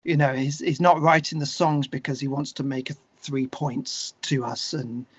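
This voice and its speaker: A middle-aged man speaking earnestly and haltingly as an interview guest, reflecting in a conversational way with emphatic stresses. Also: medium pitch, steady tone, slightly rough. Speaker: guest, singer-songwriter. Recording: slightly dull, lo-fi conversation audio.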